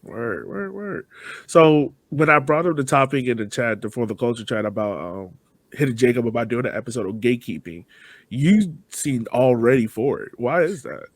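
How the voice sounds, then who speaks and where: A man's voice: medium pitch, expressive, moderate pace. A man hosting a podcast interview in a casual, informal, slangy way. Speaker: podcast host.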